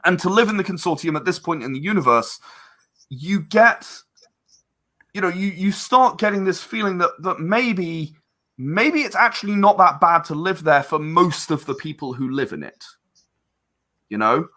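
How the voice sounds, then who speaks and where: A man's voice: high voice, animated, normal speed, pushed and bright. A man talking casually as an interview guest about his creative work, chatty and rambling as he explains. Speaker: interview guest, game and audio drama creator.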